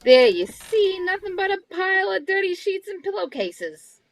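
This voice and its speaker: A woman's voice: very high voice, very animated, moderate pace, a little hiss or hum, heavily acted. A woman playing a reluctant, plain-talking male elevator operator in a live reading of a radio mystery script. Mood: dismissive.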